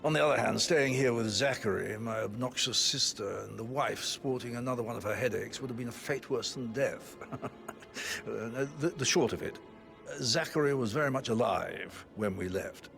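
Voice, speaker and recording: An actor playing a mocking, sarcastic middle-aged aristocrat with a gravelly drawl, over game music. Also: male voice, mid-range voice, normal speed, fairly bright tone. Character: sneering middle-aged British aristocrat brother. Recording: game audio with music behind.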